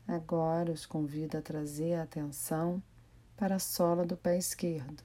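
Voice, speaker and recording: A woman with a Brazilian accent guiding a meditation in a gentle, unhurried manner with pauses. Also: low voice, level tone. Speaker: meditation guide. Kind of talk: guided body scan meditation.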